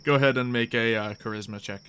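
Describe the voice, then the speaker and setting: A man improvising casual tabletop game narration as game master in a halting, conversational way. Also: mid-range voice, fairly even intonation, fairly bright tone. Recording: clear background noise with insect sounds.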